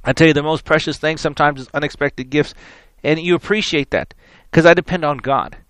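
Hoarse, raspy male radio preacher. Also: fairly high pitch, steady tone, fairly quick, fairly bright tone, dry recording. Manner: rambling, repeats words, folksy preaching. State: hoarse from many interviews. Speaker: radio show host and minister. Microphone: studio microphone.

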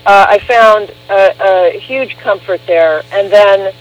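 A woman's voice: medium pitch, slow pace, low-quality recording. A woman guest on a radio interview, telling her story emphatically and with animation.

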